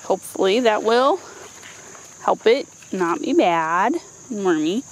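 A woman's voice: mid-range voice, animated, measured pace, poor audio. A woman in her thirties chatting casually and playfully as she gives a garden tour for a vlog. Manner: informal, playful asides. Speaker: vlogger giving a home garden tour. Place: outdoors in a backyard vegetable garden. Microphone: handheld camera microphone. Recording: outdoor handheld camera, noticeable ambient noise.

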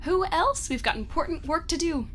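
A woman's voice: very high-pitched, animated, normal speed, a bit bright. A woman playing a haughty scientist in charge of experiments in an audio drama, mock-polite, condescending and theatrical. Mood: brisk. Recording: roomy echo, faint background noise.

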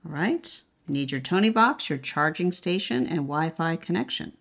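A woman's voice: low voice, expressive, moderate pace. A woman co-hosting a casual unboxing and product demonstration, recorded inside a home. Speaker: product review co-host. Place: indoors at a home.

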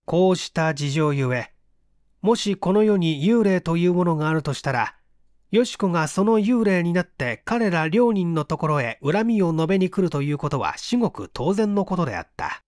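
A man narrating a literary mystery tale in a measured, dramatic storytelling manner with deliberate pauses. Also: on the high side, expressive, a little mellow, dry recording, clean studio sound. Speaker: audiobook reader, stage actor.

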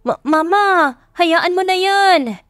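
A woman's voice: extremely high pitch, animated, slow pace, fairly bright tone, clean studio sound, voice pushed up, heavily acted. A woman voicing a brash, feisty, easily panicked young woman in an audio romance story. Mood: loud, flustered. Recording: roomy echo, music bed behind.

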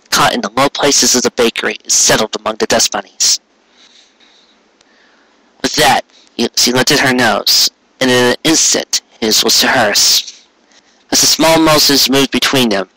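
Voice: medium pitch, pushed and bright, no room sound, low-quality recording. A reader giving a dramatic narration of a scripted story. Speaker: fan fiction reader.

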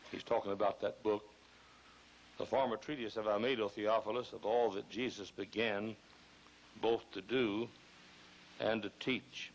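Older male pastor, hoarse and gravelly, lowish voice, emphatic pulpit preaching with loud bursts; pulpit mic in a big church, faint tape hiss. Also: lo-fi sound. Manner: emphatic, repeats phrases, rises to loud bursts. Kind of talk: fiery sermon. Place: large church auditorium, choir behind. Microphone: pulpit microphone.

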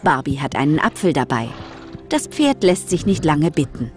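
A woman's voice: low voice, big pitch swings, moderate pace, darkish tone, faint music behind. A woman narrating a scripted children's audio drama as a storyteller.

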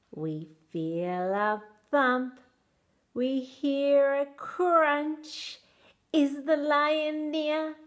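A woman reading a children's picture book aloud in an expressive, measured storytelling style. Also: high-pitched.

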